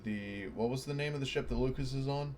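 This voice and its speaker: A man's voice: mid-range voice, fairly quick, a little mellow, a little hiss or hum. A man chatting casually as a player in a tabletop roleplaying game.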